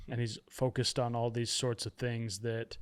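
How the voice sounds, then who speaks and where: Male podcast guest with a smooth, resonant voice and a mid-range pitch. Reflective and candid, he pokes fun at himself. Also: little pitch movement, moderate pace. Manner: candid, self-deprecating humor. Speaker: podcast guest, author and podcast host. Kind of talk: reflective casual conversation.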